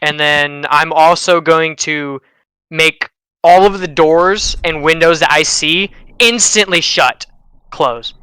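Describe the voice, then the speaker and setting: A man playing a tabletop role-playing game, chatting casually with plenty of swearing, insistent and excitable. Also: fairly high pitch, takes it slowly, clear, resonant tone, no echo. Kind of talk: casual, profane game chat.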